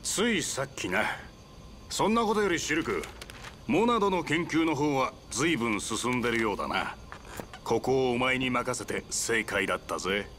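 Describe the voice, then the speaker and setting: A man's voice: medium pitch, lively intonation, moderate pace, a bit bright, slight background noise, lightly acted. Rugged, easygoing mentor played by a man. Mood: casual, interested. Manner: rough, familiar, casual. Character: rugged, easygoing middle-aged mercenary mentor. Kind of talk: anime-style game voice acting. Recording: music behind.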